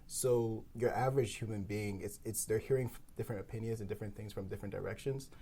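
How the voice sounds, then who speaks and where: A man's voice: fairly low pitch, fairly even intonation, fast, warm tone, breathy edge. A man talking as a guest in a casual round-table discussion, rambling through his points with lots of filler words. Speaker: podcast panel guest.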